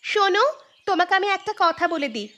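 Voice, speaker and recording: A woman's voice: extremely high pitch, expressive, brisk, pushed and bright, heavily acted. A woman voicing a nagging, demanding village wife in a cartoon, scolding and insistent. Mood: angry, demanding. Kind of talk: Bengali cartoon dubbing.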